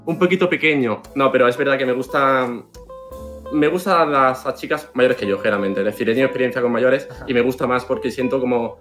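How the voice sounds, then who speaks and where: Man in his 20s, rapid and self-assured dating pitch, mid-pitched voice, faint music underneath over a video call. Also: fairly bright tone. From Spain. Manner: fast, self-assured, chatty. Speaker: dating contestant. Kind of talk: casual self-introduction.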